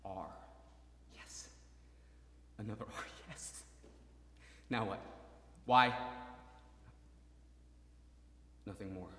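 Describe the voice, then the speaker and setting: An actor playing a gravely wounded young soldier in a stage drama, halting, repetitive and pleading, moving between murmurs and cries. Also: male voice, on the low side, pushed and bright. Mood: concentrating. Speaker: actor in a stage adaptation of a radio play. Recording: roomy hall, distant mic, faint noise.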